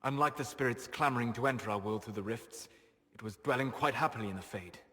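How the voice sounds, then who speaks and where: An actor playing a grave, scholarly elven male mage with measured, formal phrasing in a video game drama. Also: mid-range voice, sharp tone, long reverb tail, lightly acted.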